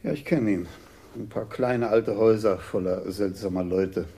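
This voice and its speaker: Actor as mellow, authoritative police chief. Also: male voice, fairly low pitch, moderate pace, lightly acted. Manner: authoritative, terse. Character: brisk, unruffled police commissioner giving orders. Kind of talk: German radio crime drama acting.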